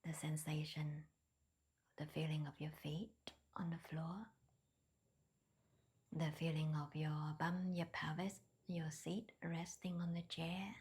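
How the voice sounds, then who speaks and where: A woman leading a guided meditation in a soft, breathy near-whisper, with gentle, hesitant non-native phrasing. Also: low voice, flat delivery, slow. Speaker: meditation teacher.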